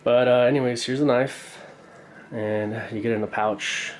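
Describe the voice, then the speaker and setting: A young man with a stuffy, congested voice casually rambling through an unboxing, full of fillers and slangy excitement. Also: mid-range voice, normal speed. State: congested from a cold. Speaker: knife collector reviewing a purchase. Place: indoor room, camera over a tabletop. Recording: roomy echo, faint background hiss.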